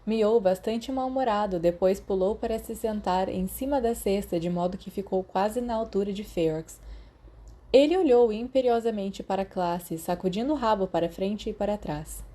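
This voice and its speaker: A woman's voice: mid-range voice, moderate pace, dark tone. A woman with a Brazilian accent reading scripted fiction as an audiobook narrator.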